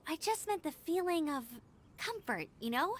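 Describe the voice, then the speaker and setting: A woman voicing a sweet, gentle young woman in a video game, speaking earnestly and nostalgically. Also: very high voice, very animated, moderate pace. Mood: wistful. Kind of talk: video game character acting.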